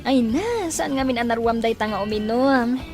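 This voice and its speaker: A woman's voice: a little high, normal speed, music in the background, natural voice. A woman playing a playful, persuasive drinking buddy in an Ilocano audio drama. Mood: playful, coaxing.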